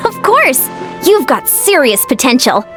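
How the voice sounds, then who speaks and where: A woman's voice: high-pitched, wildly swooping pitch, takes it slowly, music in the background, heavily acted. A woman playing a confident, friendly, fitness-minded warrior in a video game, upbeat and coach-like. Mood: encouraging, upbeat. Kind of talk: video game cutscene acting.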